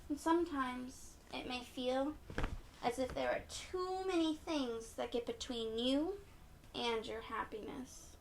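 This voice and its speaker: A woman with a high voice reads a picture book aloud for small children. She takes her time, speaking expressively and encouragingly as if the listeners could answer. There is faint noise in the background. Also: takes it slowly. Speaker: children's read-aloud storyteller.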